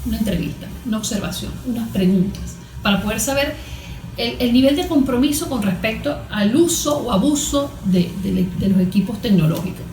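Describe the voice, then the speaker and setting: A Venezuelan woman explaining as an expert guest in conversation, halting and repeating words, often posing rhetorical questions. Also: a little high, animated, darkish tone. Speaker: cognitive therapist, interview guest. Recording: echoey room with background noise.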